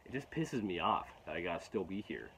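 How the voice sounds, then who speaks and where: A teenage boy rambling to his phone camera in a garden, frustrated and halting, with lots of restarts and fillers. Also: low-pitched, animated, fairly bright tone, slight background noise, poor audio. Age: teens. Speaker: vlogger. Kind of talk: casual rambling vlog talk. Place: outdoors in a garden. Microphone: phone camera at arm's length.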